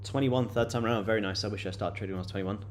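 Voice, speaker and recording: Chatty young man with a lowish voice. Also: fairly even intonation, very fast, slight background noise. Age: late 20s. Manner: loose and chatty, many fillers and repeated words. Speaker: day-trading livestream host. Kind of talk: casual live-stream chat answering viewers.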